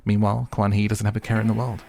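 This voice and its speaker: Low-voiced man narrating with wry commentary. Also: level tone, quick pace, vocal fry, noisy background. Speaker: recap host and reviewer. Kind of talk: scripted narration, opinionated show recap.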